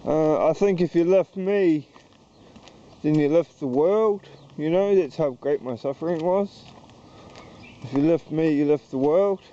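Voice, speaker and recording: A man with a New Zealand accent talks in a halting, wandering way, pausing and restarting his thoughts. His voice is very dark and mellow, set a little higher than most men's. The sound is dull and lo-fi, with faint outdoor noise behind him. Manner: hesitant, halting, slow and wandering. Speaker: YouTuber. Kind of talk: rambling walk-and-talk vlog. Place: outdoors walking around town.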